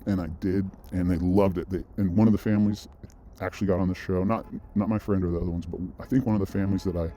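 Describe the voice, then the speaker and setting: A man's voice: deep voice, fairly even intonation, fast talker, dark tone, slight background noise. A man vlogging, telling a personal story in a loose, rambling way, full of restarts and side notes. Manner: rambling, with frequent restarts and asides. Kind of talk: casual storytelling monologue.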